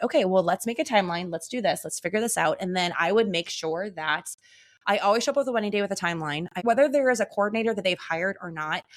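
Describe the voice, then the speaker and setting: A woman co-hosting a podcast, chatting casually and telling stories in a loud, animated way as a wedding photographer. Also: on the low side. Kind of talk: casual chat.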